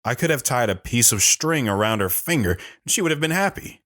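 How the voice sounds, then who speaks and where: A man's voice: mid-range voice, expressive, a little fast, fairly bright tone, no room sound, studio quality, lightly acted. An actor playing an older, reflective, world-weary man telling his life story in an audio drama.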